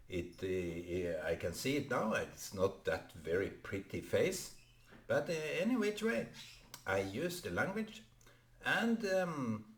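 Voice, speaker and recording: A man whose first language is Norwegian, talking to camera in a halting vlog monologue full of fillers and restarts. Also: mid-range voice, a bit bright, roomy sound. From Norway. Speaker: vlogger. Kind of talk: unscripted solo vlog monologue.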